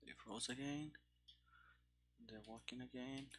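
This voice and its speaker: A game developer thinking aloud while programming, speaking casually and haltingly, as if muttering to themself. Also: mid-range voice, steady tone. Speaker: game developer streaming their coding. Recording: keyboard clicks and faint background noise. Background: typing and mouse clicks.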